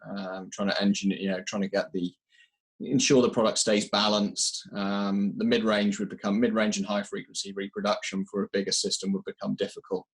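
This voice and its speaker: A man giving technical answers in an interview, measured and explanatory, pausing to find his words. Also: fairly low pitch, little pitch movement, breathy edge, rough recording. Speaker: audio company representative being interviewed.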